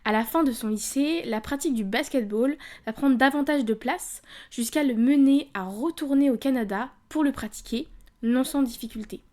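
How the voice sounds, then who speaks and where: A woman's voice: sits high, moderate pace, a little mellow. A woman hosting a podcast, reading a scripted introduction and then interviewing a guest politely. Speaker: podcast host.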